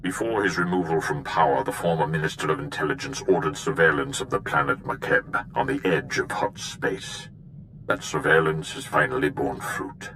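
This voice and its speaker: A very deep, forceful dark lord in a sci-fi game. He is stern and imperious, speaking with grave formality. A faint rumble sits behind the voice. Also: fairly even intonation, moderate pace, poor audio. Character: stern, commanding male dark lord of a galactic empire. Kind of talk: dramatic video game acting.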